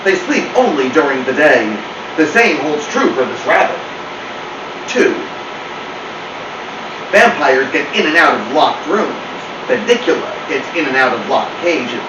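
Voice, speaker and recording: Man playing bossy know-it-all storybook cat, highish animated voice, impatient, roomy sound. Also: measured pace, pauses often. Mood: lecturing. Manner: insistent, lecturing, impatient. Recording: roomy, distant mic, clear noise.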